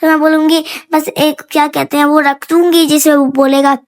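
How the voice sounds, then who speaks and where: A woman narrating her vlog in a chatty, playful way, repeating phrases, a little hoarse from a sore throat. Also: sits high, little pitch movement, moderate pace. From Pakistan. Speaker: vlogger.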